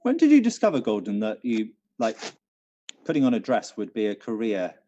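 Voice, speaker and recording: British man, mellow voice, animated intonation, casual co-host chat, quiz announcements, dull muffled recording. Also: lowish voice, moderate pace. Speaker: quiz co-host, venue manager.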